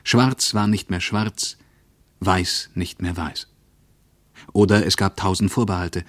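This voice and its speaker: A man reciting scripted literary prose for a radio play with deliberate, weighty phrasing. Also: low voice, steady tone, dry recording, clean studio sound.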